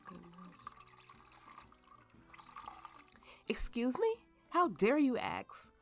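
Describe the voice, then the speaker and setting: A woman's voice: a little high, huge pitch swings, takes it slowly, vocal fry, exaggerated voice. A woman voicing a drunken, playful and imperious warrior woman in an ASMR roleplay. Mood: indignant, reluctant. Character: tipsy, haughty, flirtatious warrior woman with a slave. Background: soft background music.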